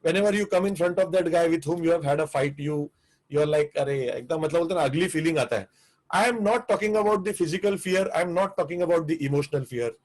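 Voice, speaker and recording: A man's voice: on the high side, rapid-fire, darkish tone, poor audio. A man with an Indian English accent, teaching a live online class on restaurant business, earnest and prone to repeating himself. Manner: earnest, repetitive, restarts phrases.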